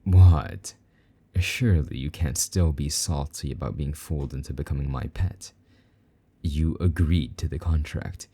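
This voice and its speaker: A man performs a possessive demon lover with an extremely low, very dark and mellow voice, a little husky. He teases and dotes intimately, drawing out his taunts as in an audio roleplay. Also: exaggerated voice. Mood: smug, possessive. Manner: taunting, doting, intimate, drawn-out teasing. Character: possessive, teasing male demon lover with soft wings. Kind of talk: intimate scripted roleplay monologue.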